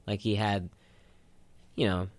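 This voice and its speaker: A man's voice: fairly low pitch, little pitch movement, slow pace, dry recording. A man co-hosting a radio show, chatting casually in a rambling storytelling way. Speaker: co-host of a retrospective radio show. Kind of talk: casual chat.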